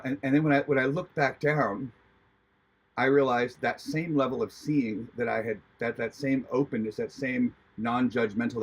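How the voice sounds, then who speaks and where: A man from Hawaii telling a personal spiritual story in a halting, earnest way, with many restarts. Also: mid-range voice, slightly rough, rough recording. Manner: earnest, reflective. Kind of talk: personal testimony, casual storytelling.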